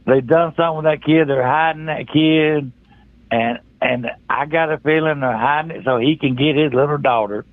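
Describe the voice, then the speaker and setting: A man's voice: on the high side, normal speed, dry recording. Folksy male caller on a phone-like line. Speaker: caller to a talk show. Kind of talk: casual, colloquial phone call. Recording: muffled, narrow phone-like line.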